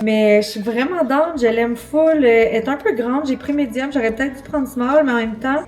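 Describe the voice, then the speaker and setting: A woman with a Quebec French accent chatting casually to her vlog in an informal, rambling way, peppered with slang and English words. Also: a little high. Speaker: vlogger and entrepreneur.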